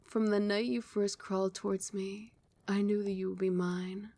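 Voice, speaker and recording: ASMR casting: a young woman as a tender, possessive vampire queen, soft soothing whisper, close mic. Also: mid-range voice, little pitch movement, moderate pace, a little hiss or hum, close to an everyday voice. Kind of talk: ASMR roleplay.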